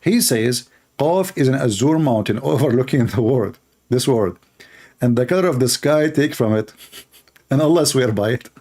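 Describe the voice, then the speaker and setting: A man whose first language is Arabic delivers polemical commentary at a middling pitch. He talks in a mocking, emphatic way, laughs often and speaks with broken grammar. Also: animated, normal speed, warm tone.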